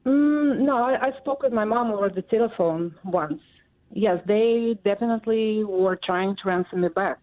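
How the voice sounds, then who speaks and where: Woman, 40s, Russian accent, Russian native, interview guest, halting with fillers, tinny phone-line sound. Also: medium pitch. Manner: halting, many fillers and pauses. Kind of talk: interview answers.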